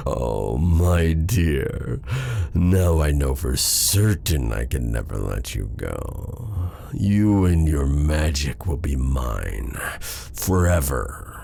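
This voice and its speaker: A man playing a possessive, silky dark sorcerer in a theatrical fantasy ASMR roleplay, drawing out courtly endearments with quiet menace. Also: a bass voice, very animated, extremely slow, gravelly, a little hiss or hum, heavily acted. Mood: gleeful, possessive.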